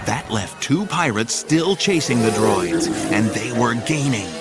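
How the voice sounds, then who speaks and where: Very animated male story narrator. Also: medium pitch, a little slow. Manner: theatrical, suspenseful. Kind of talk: dramatic scripted story narration. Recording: loud music behind the voice.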